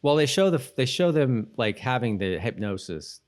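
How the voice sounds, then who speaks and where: A man's voice: mid-range voice, sing-song, normal speed. A man co-hosting a podcast, chatting casually about a TV episode in a rambling, repetitive way. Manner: rambling, repeats himself. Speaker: podcast co-host.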